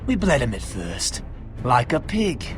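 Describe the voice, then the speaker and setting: An actor playing a sadistic, taunting killer in a game drama. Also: male voice, mid-range voice, wildly swooping pitch, unhurried, over music. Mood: gloating.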